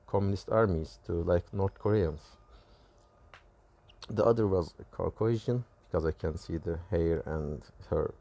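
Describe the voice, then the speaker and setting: Man, Turkish accent, native Turkish speaker, mellow dark tone, low pitch, halting delivery, religious testimony. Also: creaky. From Turkey. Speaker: religious video creator. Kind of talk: personal testimony recounting dreams and visions.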